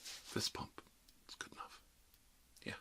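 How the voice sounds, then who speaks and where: A man playing a kindly older doctor in an ASMR role-play, speaking softly in an unhurried, hesitant, reassuring murmur. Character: kindly older male doctor doing emergency dental work.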